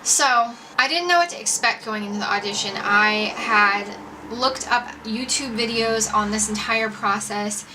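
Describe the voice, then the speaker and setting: A woman's voice: on the high side, measured pace. A young American woman telling a personal story casually to camera, chatty and informal, like a vlogger. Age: young adult. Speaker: vlogger and singer-songwriter. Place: indoors, makeshift home filming setup. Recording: distant mic, roomy home video, background noise.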